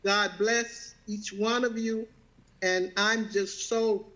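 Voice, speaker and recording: Older southern lady, halting talk, keeps repeating herself, laughs a lot. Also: mid-range voice, fairly bright tone, rough recording. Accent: Southern American. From Southern United States. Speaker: guest. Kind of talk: casual personal testimony.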